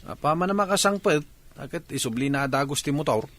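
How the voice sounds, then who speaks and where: A man's voice: a little high, lively intonation, quick pace, a bit bright. A man playing a defensive, grumbling village husband with an Ilocano accent and a whiny, protesting manner in a comedy radio drama. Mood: reluctant.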